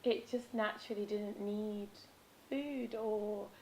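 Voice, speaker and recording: Hoarse raspy woman, rambling vlog talk. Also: mid-range voice, steady tone, slow pace, a little mellow, a little hiss or hum, low-quality recording. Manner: rambling, with many ums and self-corrections. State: hoarse, losing her voice. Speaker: vlogger. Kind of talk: casual spiritual vlog talk.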